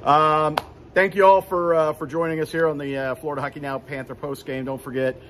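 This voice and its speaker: A man's voice: a little high, flat delivery, a little fast, fairly bright tone, a little hiss or hum. A man in his fifties, hosting a casual postgame recap in a hockey arena, rambling with many fillers and restarts. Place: indoor hockey arena beside the rink. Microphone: phone camera at arm's length.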